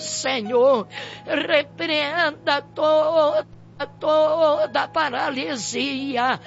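A woman's voice: high voice, fairly bright tone. A Brazilian woman leading a fervent intercessory prayer as a preacher, pleading tearfully in short phrases. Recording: dull lo-fi audio with music. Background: music.

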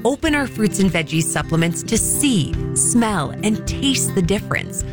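A woman announcer reading scripted ad copy in a bright, inviting manner. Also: mid-range voice, big pitch swings, a little slow, over music. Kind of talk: scripted ad voice-over.

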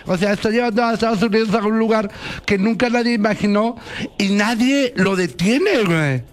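Exasperated radio co-host. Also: male voice, sits high, moderate pace, soft background music. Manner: exasperated, slangy.

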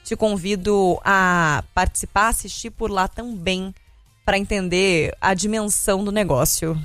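A woman's voice: mid-range voice, fairly even intonation, unhurried, fairly bright tone, soft background music. A Brazilian woman presenting live radio news and traffic updates in a conversational, explanatory way. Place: radio studio.

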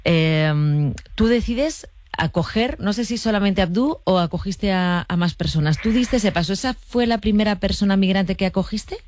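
A woman's voice: medium pitch, moderate pace, a little mellow, dry recording. A woman hosting a conversational interview in an informal, reassuring way. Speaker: interviewer, radio host.

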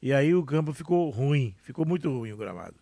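A man co-hosting a sports talk show, joking and animated in casual banter. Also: medium pitch, fairly quick, creaky, no room sound. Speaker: sports radio co-host.

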